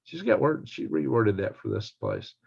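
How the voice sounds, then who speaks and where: Older guy hosting a live stream, raspy n creaky, folksy rambling country talk, kinda lo-fi audio. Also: medium pitch, wildly swooping pitch, moderate pace, a little mellow. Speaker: livestream host. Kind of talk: casual live stream chat, reading viewer comments. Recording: dull lo-fi livestream audio.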